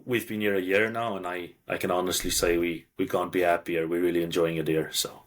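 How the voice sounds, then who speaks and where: A man from South Africa with a South African accent, a podcast guest telling hunting stories in a relaxed, plain way. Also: low-pitched. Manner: relaxed, plain storytelling with small pauses. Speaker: guest hunter. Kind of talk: casual storytelling.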